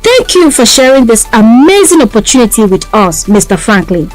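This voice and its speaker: A woman's voice: a little high, sing-song, moderate pace. A woman with a Nigerian accent acting as interviewer in a scripted, polished and enthusiastic radio promotion. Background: music.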